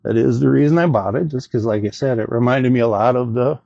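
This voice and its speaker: A man hosts a casual gadget review with a gravelly, mellow voice. He rambles a little, hesitates and plays down his own expertise as he goes. The recording is close and dry, with a slightly dull sound. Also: medium pitch, quick pace, no room sound. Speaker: host of a gadget review video. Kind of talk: casual unscripted product demo and review.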